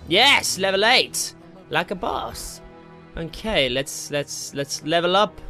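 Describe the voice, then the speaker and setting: Animated, bright-voiced man doing game commentary. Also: high-pitched, slow, creaky. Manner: excitable, jokey, mildly crude exclamations. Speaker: gaming video host. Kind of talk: casual let's play commentary. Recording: game music behind the voice. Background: video game music.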